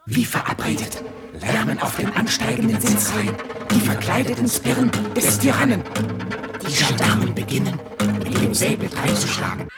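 Radio drama narrator, male, urgent: highly animated, slightly high voice, clipped vivid phrases, loud music behind. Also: normal speed, full character voice. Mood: urgent, dramatic. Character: urgent male narrator of violent political tumult. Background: prominent music.

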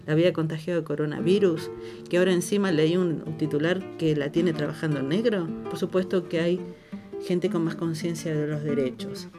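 A woman's voice: deep voice, fairly even intonation, a little fast. A woman with an Argentine accent giving her opinion conversationally, thinking aloud as she goes. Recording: plucked guitar music behind voice.

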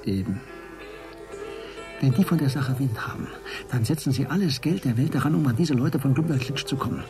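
A keen, scheming male investigator played by an actor in a radio play. He speaks at medium pitch in halting, musing phrases, with music behind him. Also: lively intonation, dark tone, natural voice. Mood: intent. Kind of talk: German radio drama acting.

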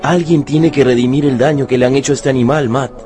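A man's voice: mid-range voice, fairly even intonation, fast, mellow, soft background music, close to an everyday voice. A man playing a principled, kind but firm miner in a radio drama, earnest and commanding. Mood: earnest, determined. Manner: earnest, commanding yet compassionate.

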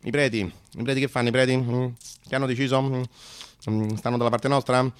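An actor playing a crude, paranoid anticommunist strongman in a satirical radio monologue, halting and repeating himself as he mutters and erupts. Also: mid-range voice, steady tone, clear, resonant tone, voice pushed down, natural voice. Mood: suspicious, muttering. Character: crude paranoid right-wing Latin American anticommunist strongman, cynical, scornful.

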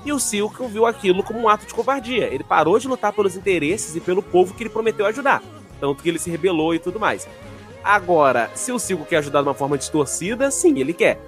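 A man with a slight lisp argues his take on a casual podcast, his voice sitting a touch high. He swears freely and laughs as he goes. Faint music plays underneath. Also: animated, quick pace, a bit bright. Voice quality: mild speech impediment. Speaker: podcast co-host. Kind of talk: casual group chat.

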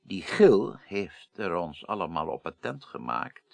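A creaky, deliberate presiding investigator. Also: male voice, low voice, big pitch swings, no echo, exaggerated voice. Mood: deliberate, analytical. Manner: formal, deliberate, reasoning aloud. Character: deliberate, authoritative male president of an inquiry. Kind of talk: Dutch radio play acting. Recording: faintly noisy 1980s radio drama.

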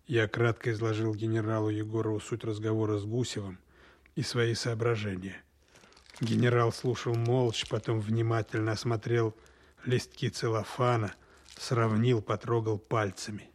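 A man acting a dutiful, overworked Soviet counterintelligence officer in a Russian radio play, earnest and frank, with a slightly husky voice. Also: fairly low pitch, flat delivery, normal speed, lightly acted. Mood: measured, reporting. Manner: earnest, matter-of-fact reporting. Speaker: actor in an audio drama.

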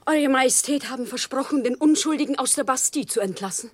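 A woman's voice: sits high, quick pace, dry recording. A woman playing an earnest, tormented humble wife in a German radio drama, speaking in a solemn, fervent, declamatory way. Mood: subdued.

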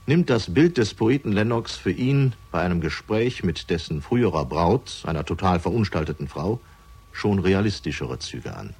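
A man reading scripted narration for a crime radio drama, measured and dramatic, with deliberate pauses. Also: sits low, a little mellow, slightly rough.

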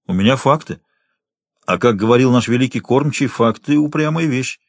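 A narrator plays a driven young male journalist, stubborn and insistent. His speech is terse and mid-pitched, in a dry audiobook sound. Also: a little fast, no echo, lightly acted.

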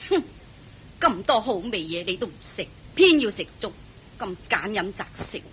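A woman's voice: mid-range voice, sing-song, moderate pace, fairly bright tone, slight background noise. An actress playing a rude, impatient servant woman who scolds and dismisses people, in a radio play. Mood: snappish. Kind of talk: Buddhist radio drama acting.

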